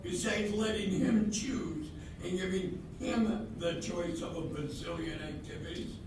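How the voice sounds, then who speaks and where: A man's voice: sits high, fairly even intonation, a little slow. A man playing a grumpy, old-fashioned grandfather in an amateur readers theatre, blustering with emphatic exclamations. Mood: nostalgic, disapproving. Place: senior center hall, live performance. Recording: echoey hall, distant mic, noisy room.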